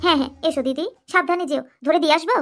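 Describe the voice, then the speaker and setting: A woman voicing a soft-spoken, obliging middle wife in a cartoon. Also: high-pitched, rapid-fire, faint music behind. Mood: kind. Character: gentle naive middle wife. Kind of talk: cartoon voice acting.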